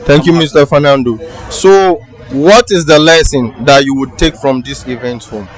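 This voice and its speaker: A man's voice: on the high side, moderate pace, a faint crowd. Male interviewer, polite questions. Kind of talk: casual street interview. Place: outdoors at a crowded palace funeral.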